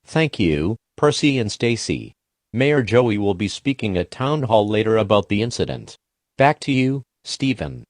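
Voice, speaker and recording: A man's voice: fairly low pitch, sing-song, a little slow, no room sound, clean studio sound. A man playing a television field reporter in a scripted live news report.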